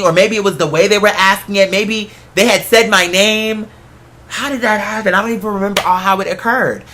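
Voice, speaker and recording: A solo talk host with a bright, forward voice at a middling pitch. The delivery is a casual, rambling monologue, emphatic and conversational, with salty language. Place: apartment.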